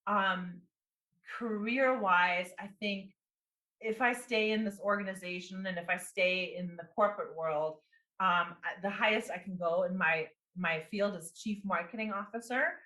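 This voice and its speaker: A woman in her forties speaks loosely as an interview guest, trailing through fillers and restarted phrases. Her voice is bright and forward. The recording carries a noticeable room echo. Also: medium pitch, a little slow. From immigrant. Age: 40s. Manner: rambling, with many fillers and restarts. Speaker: guest, business coach and marketing executive. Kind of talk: casual interview talk.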